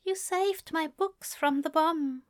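A woman voicing a gentle, prim male angel in love, speaking softly and carefully with a plaintive, formal manner. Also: extremely high pitch, animated, slow pace, no room sound, clean studio sound, pitched above the performer's own voice, exaggerated voice. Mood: plaintive, loving.

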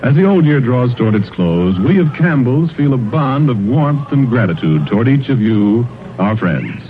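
A man's voice: medium pitch, big pitch swings, normal speed, a little hiss or hum. A middle-aged American man reading a sponsor message as an old-time radio announcer, formal, polished and earnest. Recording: tinny vintage radio, faint music.

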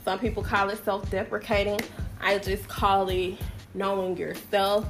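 Young woman podcast host, nasal and a bit sick, easygoing and playful, mid-pitched voice. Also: little pitch movement, unhurried, music in the background. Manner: chatty, playful, self-deprecating, slangy asides. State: sick with a summer cold. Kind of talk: casual solo podcast chat.

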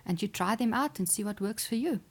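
A woman speaks at an ordinary mid pitch. Her is Afrikaans. She answers questions earnestly and encouragingly, with small restarts along the way. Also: lively intonation, fairly quick, breathy edge. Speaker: chess player and sports psychologist. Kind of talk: interview answers.

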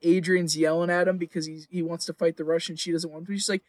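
A man co-hosting a podcast in casual, excitable, joking chat. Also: a little high, fast talker, no echo, clean studio sound. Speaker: podcast co-host.

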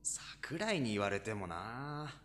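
Man acting boyish high school guy, very expressive. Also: slow pace, exaggerated voice. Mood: doubtful, teasing. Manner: casual, blunt, teasing. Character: frank, boyish high school trumpet-club boy, self-deprecating. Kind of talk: Japanese audio drama acting.

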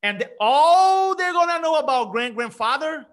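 A middle-aged man from Brazil with a Brazilian Portuguese accent, an energetic speaking coach talking emphatically in an interview. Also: very high-pitched, unhurried. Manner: energetic, emphatic, frequent restarts. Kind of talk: conversational interview.

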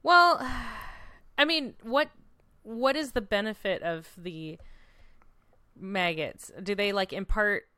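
A woman talks in a relaxed, informal chat with a wry, playful streak. Her voice is smooth and clear at a middling pitch, and the recording is very dry, with no room sound. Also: slow pace, pauses often, no echo. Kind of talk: casual chat.

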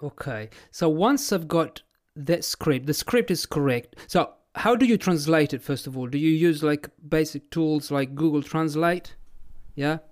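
A man hosting an interview, relaxed and joking as he asks short questions. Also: on the high side, unhurried. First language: Italian. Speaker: host, language teacher. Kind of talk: casual interview.

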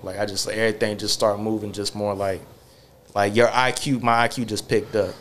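A man with a lowish voice talks on his own podcast in a casual, meandering way. He circles back on himself and leans on filler words as he works through his thoughts. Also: little pitch movement, brisk, fairly bright tone, a little hiss or hum. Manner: rambling, heavy on filler words and restarts. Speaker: podcast host.

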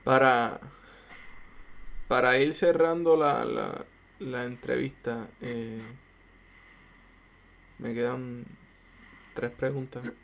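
A man's voice: mid-range voice, fairly even intonation, takes it slowly, creaky, pauses often, fairly bright tone. A man hosting an interview, asking questions and tagging a checking word onto almost every phrase. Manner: leans on a tag question after every phrase. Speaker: interview host.

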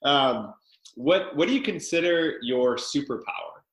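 A man's voice: mid-range voice, animated, measured pace, a bit bright. A man hosting a podcast interview, upbeat and enthusiastic, with loose filler-filled questions. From Canada. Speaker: podcast host. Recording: echoey room.

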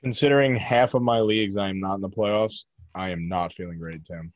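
A man's voice: deep voice, a little fast, no room sound, dull audio. A young man co-hosting a casual sports podcast, blunt and joking, pushing strong opinions. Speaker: podcast co-host.

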